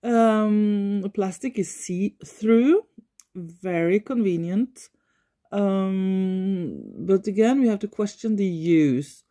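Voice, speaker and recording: A Norwegian woman talking unscripted in her living room, pausing often as she searches for words. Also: medium pitch, mellow. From Norway. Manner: thoughtful, searches for words, frequent pauses. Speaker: environmental vlogger. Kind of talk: unscripted talk to camera.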